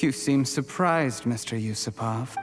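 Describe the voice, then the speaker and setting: An actor playing a formal, measured underling with a cold, bitter ambition in a video game. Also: male voice, medium pitch, soft background music, natural voice.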